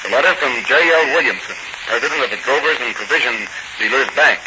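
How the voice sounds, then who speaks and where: An actor playing a self-assured masked crime fighter in a 1940s radio serial, earnest and declamatory. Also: male voice, medium pitch, animated, quick pace, close to an everyday voice. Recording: noisy, muffled 1940s radio recording.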